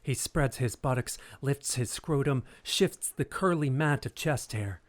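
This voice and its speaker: A man's voice: medium pitch, measured pace. A man reading scripted dramatic narration for an audio drama.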